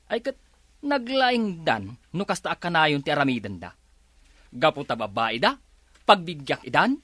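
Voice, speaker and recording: A man's voice: fairly high pitch, sing-song, a little slow, pauses often, bright, forceful tone, no room sound, exaggerated voice. A man playing a stubborn, self-righteous husband in a radio drama, argumentative and boastful. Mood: scoffing, defiant.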